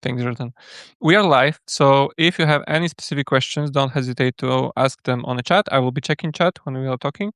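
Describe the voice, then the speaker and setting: A man's voice: medium pitch, a little fast, fairly bright tone, dry recording, clean studio sound. A man hosting a casual live interview, introducing his guest and putting questions to him. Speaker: livestream host and interviewer.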